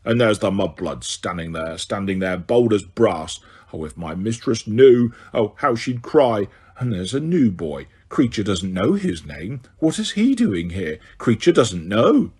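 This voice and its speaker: A man voicing a spiteful old male house elf who mutters in a hoarse, deep, croaking voice. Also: sing-song, measured pace, few pauses, a little mellow, full character voice. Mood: muttering. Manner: muttering under the breath.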